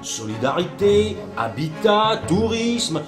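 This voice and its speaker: A man's voice: high voice, sing-song, slow, exaggerated voice. A man playing a jovial, proud local who talks emphatically in a scripted promotional dialogue. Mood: emphatic. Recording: roomy echo, music behind voice. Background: music.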